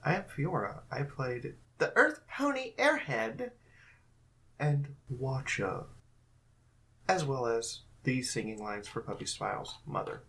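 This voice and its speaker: A woman's voice: extremely low pitch, very animated, slow pace, a bit bright. A woman hosting a fan audio drama, giving casual closing remarks in a rambling, hesitant way. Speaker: host and director.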